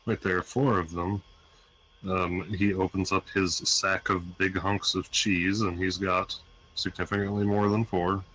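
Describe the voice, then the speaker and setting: A man's voice: low-pitched, flat delivery, a little slow, a bit bright, slight background noise, rough recording. A man playing a tabletop game, chatting casually with the group. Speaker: player.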